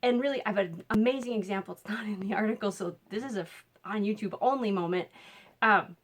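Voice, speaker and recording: Minnesotan woman, coach, casual and upbeat to camera, mid-pitched voice. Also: quick pace. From Minnesota, USA. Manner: chatty, upbeat, with little laughs and fillers. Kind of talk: casual direct-to-camera talk.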